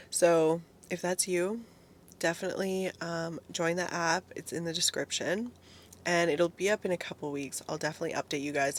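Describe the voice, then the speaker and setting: A young woman vlogging about fitness in a casual, chatty way, swearing freely, her voice hoarse because she is losing it. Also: lowish voice. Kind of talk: casual solo talk to camera.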